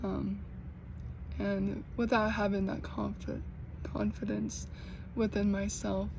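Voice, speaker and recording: A young American woman who stutters, with repeated sounds and blocks, talking slowly and earnestly in a personal vlog. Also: medium pitch, flat delivery, vocal fry, noisy background. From United States. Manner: slow, earnest, gently encouraging. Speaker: vlogger.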